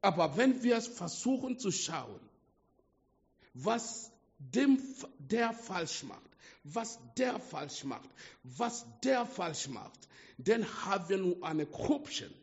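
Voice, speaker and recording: Man, non-native German accent, emphatic sermon, unhurried delivery, lo-fi reverberant hall. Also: sits high, very animated. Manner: slow, emphatic preaching with swings between hushed and loud. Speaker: preacher. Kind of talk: sermon to a small congregation. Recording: dull lo-fi sound with long hall reverb.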